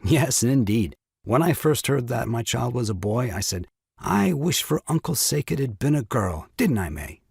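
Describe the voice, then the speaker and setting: A man's voice: mid-range voice, big pitch swings, moderate pace, vocal fry, a little mellow, slightly rough, dry recording, studio quality, exaggerated voice. An actor playing a brazen, bluffing young husband and new father in a comic farce, glib and formal with mock sympathy. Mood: brazenly confident. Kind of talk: comic stage farce acting.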